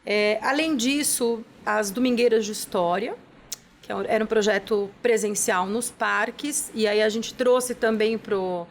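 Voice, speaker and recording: A woman's voice: mid-range voice, measured pace, a little hiss or hum. A woman, a charity's executive director, talking at length as a guest in a TV studio interview, tagging her sentences with questions. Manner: enthusiastic, explains at length with many "né?" tags. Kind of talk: casual interview talk.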